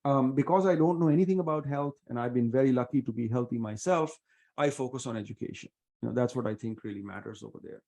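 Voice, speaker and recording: A middle-aged man from India with an Indian accent, giving earnest, measured interview answers with many fillers. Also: medium pitch, mellow. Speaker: venture investor guest.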